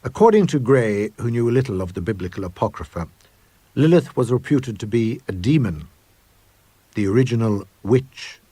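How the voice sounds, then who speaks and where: A man reads a ghost story as an audiobook narrator. His mid-range voice has a husky grain, and he paces the tale with measured suspense. The sound is close and dry, with no room around it. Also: medium pitch, lively intonation, warm tone, dry recording. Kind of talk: dramatic scripted ghost story reading.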